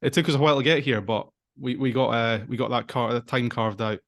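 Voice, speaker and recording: A man's voice: medium pitch, fairly quick, a bit bright. A man hosting a podcast, introducing his guest in a friendly, informal way. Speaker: podcast host. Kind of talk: conversational interview.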